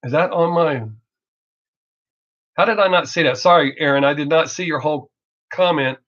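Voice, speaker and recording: A man's voice: on the high side, fairly bright tone. Southern American man, dull lo-fi stream. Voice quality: Southern drawl masked by fast talk. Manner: blunt, rambling, profane, joking. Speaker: reaction video creator hosting a live stream. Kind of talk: casual livestream chat, off the cuff. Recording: dull lo-fi livestream audio.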